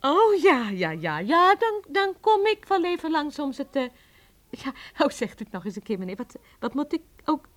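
An actress playing an excitable, scatterbrained housekeeper in a comic audio drama, flustered, exclaiming and stammering. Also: female voice, high voice, moderate pace. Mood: flustered, excited. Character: excitable, scatterbrained housekeeper, quick to laugh and defend herself.

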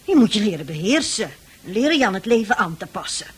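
A woman playing a middle-aged, nagging mother in a radio play, with a husky voice and a hesitant, reproachful manner. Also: mid-range voice, sing-song, fairly quick. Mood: reproachful, worried. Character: worried, weary, nagging mother of a teenage son.

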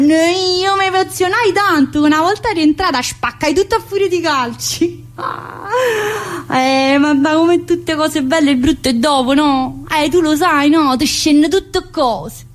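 An actress playing a talkative, teasing, wounded woman prisoner in a theatrical monologue, with a southern Italian accent and a rambling, colloquial manner. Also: high voice. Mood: wistful, emotional. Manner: rambling, colloquial, full of tag questions. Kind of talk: theatrical monologue in colloquial dialect-tinged speech.

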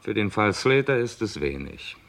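An actor playing a terse, sober chief inspector in a crime radio play, measured and authoritative. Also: male voice, lowish voice, sing-song, fairly bright tone. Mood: unimpressed.

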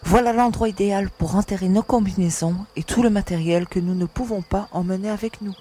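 A woman's voice: mid-range voice, brisk, warm tone, slight background noise, close to an everyday voice. A woman playing a composed young alien agent who leads castaways and explains things with assurance in an audio drama. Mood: practical.

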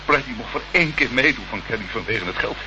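An actor playing a frightened small-time crook in a radio play, pleading, stammering and evasive. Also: male voice, mid-range voice, animated, fast talker, natural voice. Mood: cornered, pleading. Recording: muffled, noisy old radio recording.

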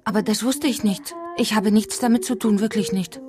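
An actress playing a naive young widow under suspicion in a radio crime drama, defensive and pleading. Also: female voice, medium pitch, moderate pace, natural voice. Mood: anxious, defensive.

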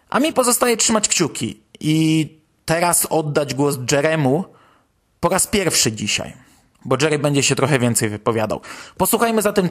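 A man hosting a podcast, talking through news in a conversational commentary style. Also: on the high side. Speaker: podcast host.

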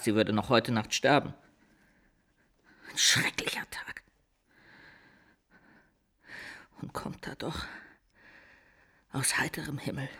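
A man playing a distraught, self-pitying drunken count in a radio drama, with a whining, halting delivery. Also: mid-range voice, bright, forceful tone, breathy edge, heavily acted. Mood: whining, hushed.

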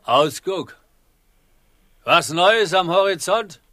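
A man's voice: high voice, lively intonation, slow, bright, forceful tone, no room sound, clean studio sound, heavily acted. A man playing an earnest, argumentative, hippie-minded young sailor in a satirical radio drama. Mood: earnest, bossy.

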